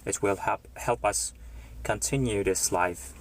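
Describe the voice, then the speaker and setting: A man hosts his first live stream as an English tutor. His voice sits low and his delivery is slow and unhurried, full of small pauses and fillers as he reads the chat and greets viewers by name. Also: slight background noise. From Morocco.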